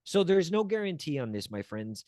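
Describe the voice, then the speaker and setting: A man's voice: a little high, big pitch swings, moderate pace, a little mellow, no echo, studio quality. A man teaching as a wellness coach, earnest and encouraging, often checking in with the listener and restarting phrases as he searches for words. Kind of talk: teaching talk to camera.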